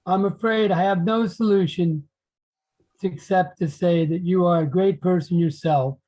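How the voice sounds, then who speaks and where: A man playing a devoted, admiring gentleman poet in an online play reading, gentle and formal. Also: high voice, steady tone, a little slow, poor audio. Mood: tender, admiring.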